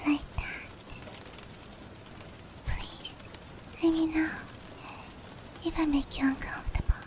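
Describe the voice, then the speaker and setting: A woman voicing a gentle, caring female demon in an intimate roleplay ASMR, whispering softly and breathily to comfort a human. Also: high voice. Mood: tender. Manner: slow, tender, reassuring. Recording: steady rain noise behind. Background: rain.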